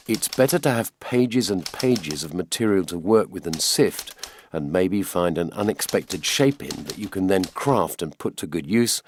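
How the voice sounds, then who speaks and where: A man's voice: sits low, slight background noise. An older man with a British accent reading a scripted radio documentary as its presenter. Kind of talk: scripted narration.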